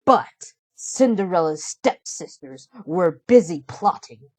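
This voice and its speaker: A woman narrates a children's storybook. She reads slowly and deliberately, with animated, expressive intonation. The sound is dry, with no room around it. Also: on the low side, no room sound. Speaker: storybook reader. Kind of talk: children's read-aloud narration.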